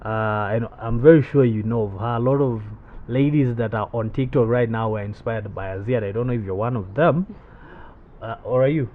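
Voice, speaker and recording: A young man hosts a casual podcast and stumbles through his questions with frequent restarts. His voice is mid-pitched. The audio is tinny and narrow like a phone line, with a faint hiss. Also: moderate pace. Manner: hesitant, with many restarts. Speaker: podcast host.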